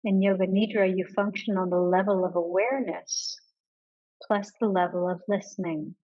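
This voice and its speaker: A woman leading a guided yoga nidra meditation in a calm, measured manner with pauses between instructions. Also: medium pitch, dull audio.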